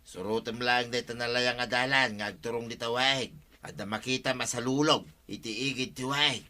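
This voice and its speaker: A man acts out a teasing middle-aged village uncle. He has an Ilocano accent and a bright, forceful voice at an ordinary male pitch, delivered as radio comedy drama. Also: fairly even intonation, brisk, close to an everyday voice. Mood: instructing.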